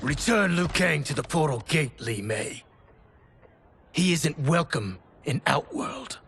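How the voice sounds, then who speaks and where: Gravelly menacing villain. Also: male voice, fairly high pitch, lively intonation, takes it slowly, fairly bright tone, a little hiss or hum, exaggerated voice. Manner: threatening, commanding. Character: menacing traitorous male general's officer. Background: music.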